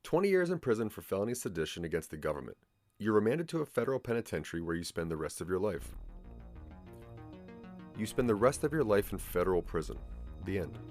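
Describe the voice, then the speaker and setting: Low-voiced man narrating, very varied pitch. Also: very animated, fast talker, a little mellow, soft background music. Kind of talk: dramatic second-person scripted narration.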